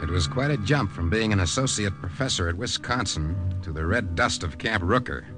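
A man's voice: fairly low pitch, expressive, brisk, close to an everyday voice. An actor playing a wry young army corporal who narrates his war mission in a 1950s radio drama. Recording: old radio recording with loud music behind.

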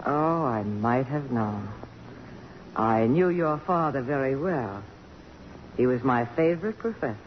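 A woman's voice: rumbling low, animated, a little slow, pauses often. An actress playing a polished, commanding middle-aged woman of accomplishment in 1950s radio drama style. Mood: wistful. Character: poised, strong-willed middle-aged woman, accomplished author and dignitary. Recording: dull, noisy vintage radio recording.

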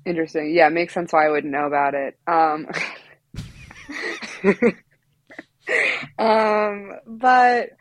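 A woman's voice: fairly low pitch, takes it slowly, pauses often, no echo. Giggly New woman guest. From New York. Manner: playful, giggly, rambling. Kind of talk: casual comedic podcast chat.

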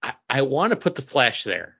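A man with a mid-pitched, bright, forceful voice chats casually as a podcast co-host. The recording sounds slightly dull and band-limited. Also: big pitch swings, normal speed, breathy edge. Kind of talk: casual chat among friends.

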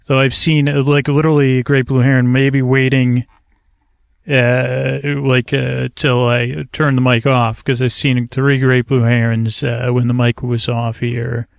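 A man hosting a sleep podcast with a creaky voice, soothing and meandering, often halting to correct himself and drifting into tangents. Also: mid-range voice, level tone, dry recording. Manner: soothing, lulling delivery. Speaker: podcast host. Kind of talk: rambling sleep-podcast monologue. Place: outdoors, in a kayak on a river.

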